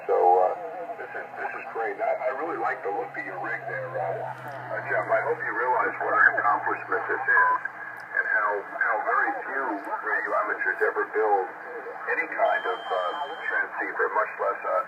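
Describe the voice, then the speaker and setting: Through very heavy radio noise comes a man with a fairly low voice, heard over a shortwave receiver. He chats casually as an amateur operator, encouraging and full of congratulations. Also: muffled recording. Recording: very noisy radio reception from a speaker.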